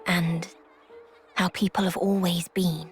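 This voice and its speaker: An actress playing a solemn, knowing woman who speaks in a measured, grave, portentous way in a video game drama. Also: on the low side, level tone, soft background music. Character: solemn, knowing woman revealing dark truths.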